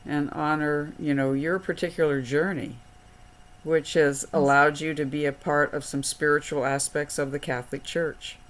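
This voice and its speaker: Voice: mid-range voice, little pitch movement, normal speed, fairly bright tone. A panel moderator who thanks and affirms each speaker with effusive praise.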